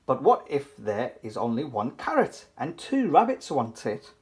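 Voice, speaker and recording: A children's book reader giving an animated, playful, sing-song read-aloud for toddlers. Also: mid-range voice, unhurried.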